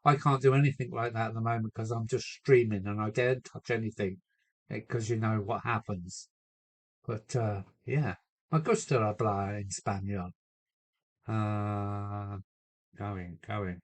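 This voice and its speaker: A man with a cold chatting casually on a home live stream from his loft, answering viewers and trying out halting Spanish phrases. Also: on the low side. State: has a cold. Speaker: model railway live streamer.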